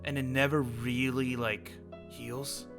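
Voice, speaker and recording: Guy roleplaying a headmaster, chatty, long explanations, soft music behind. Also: medium pitch, flat delivery, slow pace. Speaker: roleplayer playing a school headmaster. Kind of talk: improvised character roleplay.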